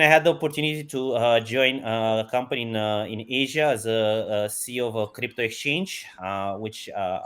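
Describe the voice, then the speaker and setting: A man's voice: lowish voice, fairly bright tone. A man, enthusiastically answering interview questions and chuckling often. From Romania. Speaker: guest, company CEO.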